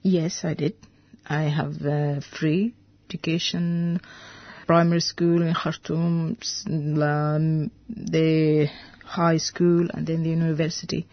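Low, slow-spoken Sudanese woman. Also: level tone, dry recording, muffled recording. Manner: halting, searching for words. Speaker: interview guest, veterinarian. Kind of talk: radio interview.

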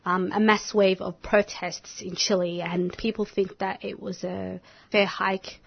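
A woman interviewing a guest on radio, setting up context in a measured way. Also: mid-range voice, flat delivery, vocal fry, husky. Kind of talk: interview question. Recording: dull lo-fi radio audio.

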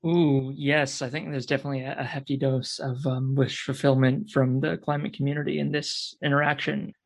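A man's voice: medium pitch, little pitch movement, brisk, creaky. A man speaking as a panel guest in a wry, articulate, conversational way. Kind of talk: conversational commentary.

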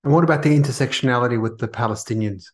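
A man's voice: mid-range voice, very fast. A man hosting a podcast interview, chatty and joking with his guest. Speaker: podcast host.

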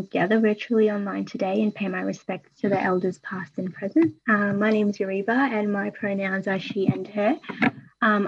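A slightly husky woman from Bangladesh and Australia, speaking conversationally as an interview guest over an online call. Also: medium pitch, darkish tone, muffled recording. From born in Bangladesh, moved to Australia. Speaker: interview guest, youth programs coordinator.

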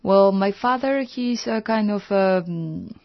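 A soft-spoken woman in her thirties with a Chilean Spanish accent talks reflectively as a guest on a radio chat. Her mid-pitched voice has a gravelly fry, and the sound is a bit dull and lo-fi. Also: slow pace.

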